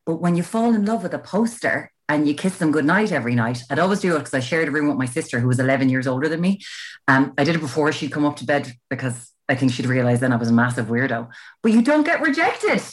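A woman co-hosting a casual comedic chat, telling stories in an animated, teasing way. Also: low-pitched, fast talker. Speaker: podcast co-host.

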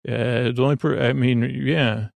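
Meandering man with a creaky voice. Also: medium pitch, steady tone, darkish tone, no room sound. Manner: meandering, halting, trails off into tangents and self-questioning. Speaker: podcast host. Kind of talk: rambling monologue for a sleep podcast.

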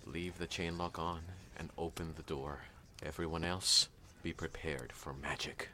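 A man's voice: very deep voice, fairly even intonation, unhurried, sharp tone, slight background noise, heavily acted. A man playing a pompous, paranoid gang leader in an audio drama, bossy and melodramatic with grandiose delivery. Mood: grave, commanding. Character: pompous, paranoid male gang leader rallying his followers.

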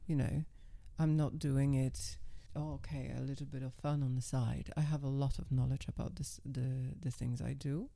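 A middle-aged woman guest with a naturally low, calm and level voice, speaking in a measured, self-assured way. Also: creaky. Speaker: guest, professional dominatrix. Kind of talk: casual interview talk.

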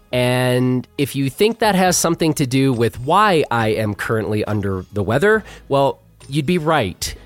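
A middle-aged man hosting a podcast with a hoarse, worn voice, talking in a chatty, self-deprecating way with fillers. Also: mid-range voice, dry recording. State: hoarse, losing his voice. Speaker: podcast host. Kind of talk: casual podcast intro and live chat.

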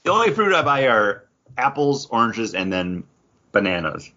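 A man's voice: medium pitch, very animated, moderate pace, bright, forceful tone, highs cut off. A middle-aged man co-hosting a pop-culture podcast in casual, jokey chat. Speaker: podcast co-host.

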